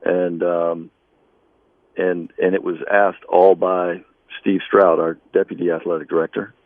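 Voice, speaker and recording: A man speaking as an interviewed basketball coach, conversational and measured, with halting pauses. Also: low-pitched, flat delivery, no echo, phone-quality sound.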